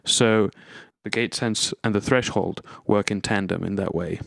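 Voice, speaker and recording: A man's voice: on the low side, a little slow, gravelly, no echo. A man presenting an instructional gear demonstration, explaining methodically, step by step. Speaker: tutorial presenter.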